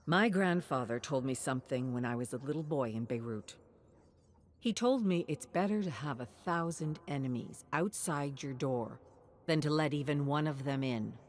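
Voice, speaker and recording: A woman voicing a stern, earnest father advising his child in a story, grave and deliberate. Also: sits low, lively intonation, darkish tone. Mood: emphatic, grave. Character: stern, earnest immigrant father, bus driver, counselling daughter.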